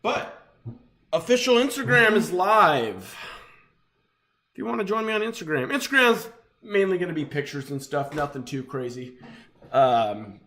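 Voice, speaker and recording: A young adult man hosting a live sports card break, slightly raspy and chatty as he opens packs. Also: on the high side, fairly bright tone. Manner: upbeat, chatty, talks while opening packs.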